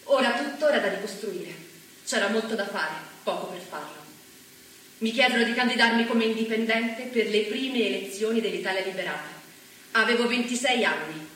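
An actress playing a young woman from the war years, telling her story in a quiet, reflective way. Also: a little high, moderate pace, close to an everyday voice. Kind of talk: theatrical narration. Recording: echoey stage from far off, faint hiss.

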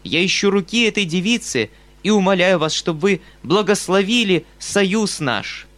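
A man doing an agitated, earnest gentleman. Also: sits high, expressive, normal speed, voice pushed up. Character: distraught kind-hearted middle-aged gentleman, pleading.

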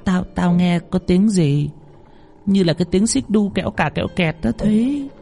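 A man's voice: high-pitched, moderate pace, creaky, mellow, soft background music, voice pushed up. A man voicing an old woman cook who is timid and frightened, stammering in a raised voice. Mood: fearful.

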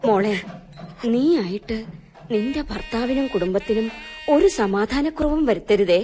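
Actress doing anxious malayali mom in a radio play, highish voice, middle-aged, loving but always admonishing. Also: female voice, animated, moderate pace, dark tone, faint music behind, close to an everyday voice. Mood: anxious advice. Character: fretful, loving middle-aged mother advising her daughter. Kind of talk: radio drama acting.

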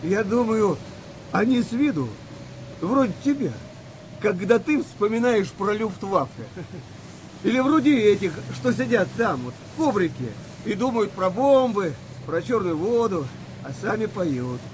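A seasoned sailor on a wartime convoy in a radio play, with a very high voice for a man. He talks roughly and plainly, yet muses like a philosopher, over clearly audible engine-like noise. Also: big pitch swings, measured pace, rough recording. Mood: somber, musing. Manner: colloquial, rough-spoken, reflective. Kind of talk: audio play acting.

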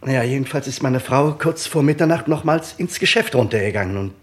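Actor as anxious, halting husband. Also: male voice, medium pitch, expressive, lightly acted. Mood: nervous explaining. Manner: halting, stammering, defensive. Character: anxious, evasive husband, a small-town supermarket owner. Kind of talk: radio crime play acting.

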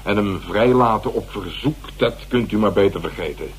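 An actor playing an unyielding police inspector in a radio play, blunt, dry and sardonic. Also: male voice, lowish voice, expressive, moderate pace, slightly rough, exaggerated voice.

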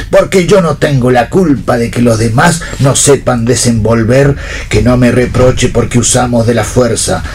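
A man's voice: mid-range voice, darkish tone, slight background noise, lightly acted. A man acting a pompous, indignant, privileged father in a radio play, speaking in an emphatic, oratorical way. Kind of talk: read theatre for radio.

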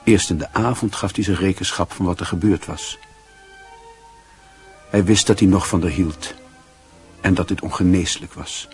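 A man's voice: low-pitched, fairly even intonation, moderate pace, long pauses, faint music behind, natural voice. A man giving wry, scripted literary narration in a Dutch radio play. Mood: wry, reflective.

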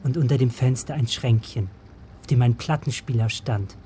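A man's voice: mid-range voice, normal speed, background noise, close to an everyday voice. An actor playing a man who recalls a secretive boyhood friendship in reflective, literary first-person radio drama narration. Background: loud music.

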